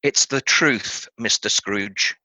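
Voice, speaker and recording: An actor playing a courteous, earnest gentleman collecting for charity in a radio play, formal and persistent. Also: lowish voice, slow pace, no echo, heavily acted, poor audio. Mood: persuasive.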